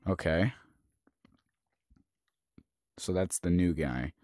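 A man's voice: low voice, drawn-out words, a little mellow, no room sound, faint music behind. Swearing male gamer commentating a horror game. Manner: swears often, jumpy reactions, explains mechanics aloud. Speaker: gaming youtuber. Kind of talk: casual let's-play commentary.

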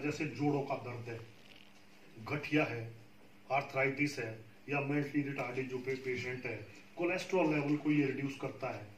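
A man explaining beekeeping patiently to a group of farmers, often checking that they follow. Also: on the high side. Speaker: beekeeping trainer. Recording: echoey room, far from the mic.

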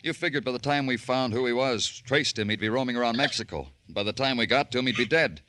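An actor playing a world-weary, relentless police detective in a radio noir drama, clipped and deadpan. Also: male voice, mid-range voice, fast talker, no echo, close to an everyday voice. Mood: accusing, grim. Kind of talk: old-time radio noir narration and dialogue.

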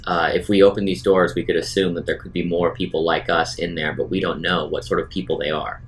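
A man with a low voice takes part in a tabletop roleplaying game as a player. He talks casually and at a fast clip. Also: flat delivery. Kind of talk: casual in-game talk and questions.

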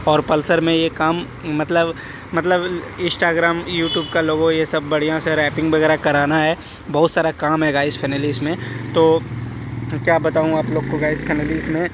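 Young male motovlogger, rambling commentary while riding, monotone, wind and road noise. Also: a little high, measured pace. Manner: rambling, chatty, addresses viewers as guys. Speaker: moto vlogger. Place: outdoors on a motorcycle on rural roads. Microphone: helmet-mounted action camera.

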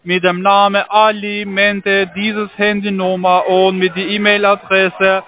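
A man with a foreign accent, not a native speaker, making a phone sales pitch in halting, ungrammatical sentences. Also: level tone. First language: not German. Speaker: call centre phone salesman. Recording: muffled phone line.

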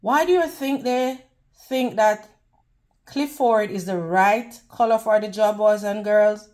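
A woman reading a picture book aloud to children in a deliberate, teacherly way, pausing to put questions to them. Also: medium pitch, a little mellow. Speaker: children's read-aloud host.

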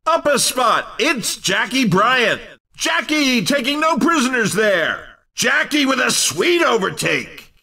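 An exuberant, cheeky kart game announcer, with a very high, bright voice that swings wildly in pitch. He fires off punchy race calls with huge hype. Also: big pitch swings, slow, distant mic, exaggerated voice. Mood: excited. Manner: punchy exclamations, hyped announcer delivery. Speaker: race commentator. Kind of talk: excited video game racing commentary.

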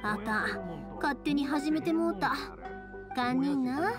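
A woman voicing a cheerful, caring young fireworks maker with a Kansai accent, chatty and expressive, in an anime game. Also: high voice, slow pace, music in the background. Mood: friendly. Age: young adult.

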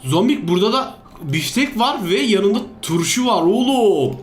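Excitable high-voiced man playing a game. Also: normal speed. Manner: excitable, exclamatory, repeats commands. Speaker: gaming video host. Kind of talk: playful game commentary. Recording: roomy echo, music underneath. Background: background music.

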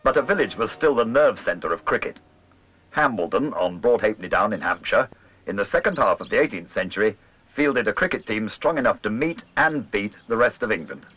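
A man's voice: on the low side, expressive, brisk, breathy edge. A man reading scripted documentary narration. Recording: muffled old film soundtrack with music. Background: music.

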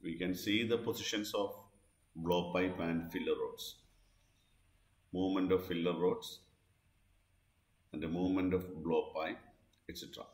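Slow, low-voiced male instructor in echoey room. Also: fairly even intonation, a little mellow. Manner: deliberate, repeats each question and answer. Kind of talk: scripted question-and-answer lesson narration. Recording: echoey, roomy voice-over.

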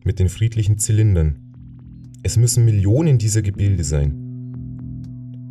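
Male audiobook narrator for fantasy novel, low pitch, very dark relaxed tone, deliberate reading.

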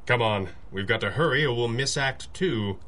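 An actor playing a dryly witty, commanding masked crime-fighter boss, deadpan and authoritative, in a radio adventure drama. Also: male voice, mid-range voice, normal speed. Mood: wry. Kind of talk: 1930s-style radio adventure acting.

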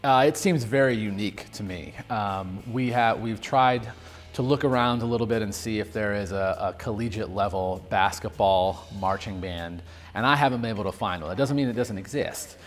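A man on a music school's staff answering interview questions in informal, halting phrasing. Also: fairly low pitch. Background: faint music.

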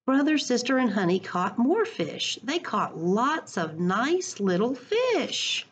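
A middle-aged woman reading a story aloud to children in a deliberate, expressive way, recorded on a computer at home. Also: on the high side, breathy edge. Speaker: school educator reading to children. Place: living room at home. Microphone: computer webcam at arm's length.

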